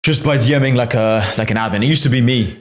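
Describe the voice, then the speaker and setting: Fast-talking man, tired after a flight. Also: mid-range voice. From United Kingdom. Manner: persuasive, explaining at length. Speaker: podcast guest. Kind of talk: casual podcast conversation. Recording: roomy echo.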